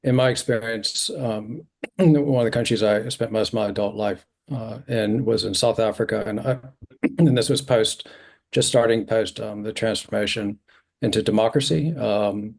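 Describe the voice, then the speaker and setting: A middle-aged man giving an earnest, measured submission to a committee over a video call, with frequent ums and restarts. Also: lowish voice, gravelly. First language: not originally from New Zealand.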